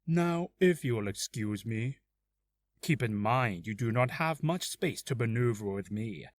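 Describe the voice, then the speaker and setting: A man voicing a polite, menacing assassin from a video game in a courteous, measured, deliberate manner. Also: mid-range voice, expressive, close to an everyday voice. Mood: calm menace. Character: polite, menacing male assassin making ransom demands. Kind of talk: video game character voice acting.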